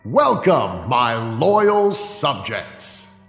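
Ceremonious fantasy king, slow proclamations, swooping intonation, formal, audio horror drama. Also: medium pitch, big pitch swings, gravelly, fairly bright tone, heavily acted. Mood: commanding. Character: commanding, ceremonious king of a cruel fantasy realm. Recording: echoing room with music behind.

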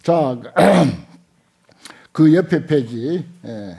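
An elderly monk, a man, teaches scripture in a soft, mellow voice pitched a little high. He speaks in short halting phrases into a desk microphone and repeats key points with emphasis. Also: expressive, vocal fry, husky. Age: older, 70s or above. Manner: unhurried, halting with frequent interjections, emphatic repetition. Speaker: Buddhist monk, lecturer. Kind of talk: Buddhist scripture lecture. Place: indoor lecture hall with seated audience. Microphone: desk stand microphones.

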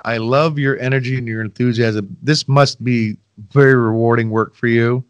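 A man's voice: mid-range voice, fairly even intonation, normal speed, no room sound, highs cut off. A middle-aged man hosts a podcast from home over a video call, talking into a headset mic. He rambles and makes self-deprecating asides to his listeners. His voice sits mid-range and sounds close and dry. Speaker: podcast host. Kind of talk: casual interview chat. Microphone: headset with boom mic.

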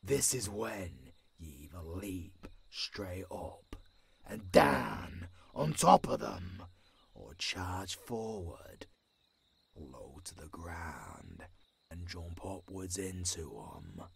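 A man with a British accent playing a tough old werewolf mentor in an audio roleplay, gruff but caring, with a growling, raspy voice and a commanding manner. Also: low voice, big pitch swings, full character voice. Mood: intense coaching. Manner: slow, deliberate, commanding.

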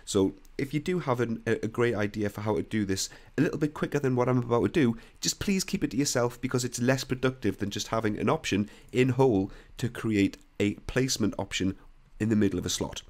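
A young man with a croaky voice, bluntly talking through a CAD software screen recording. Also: mid-range voice, fairly quick. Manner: blunt, opinionated, conversational. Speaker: CAD software tutorial host.

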